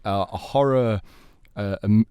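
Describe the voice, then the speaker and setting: A man's voice: lowish voice, moderate pace, dark tone, clear, resonant tone, no room sound, clean studio sound. A man in a casual back-and-forth about films and games, talking as a podcast panellist.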